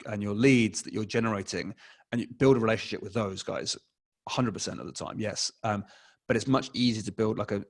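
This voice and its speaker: A man in his forties with an English accent, a guest answering interview questions over a video call, talking casually with many fillers and restarts. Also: lowish voice. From England. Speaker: guest, advertising expert. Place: small room at home, indoors. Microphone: desk mic, video call.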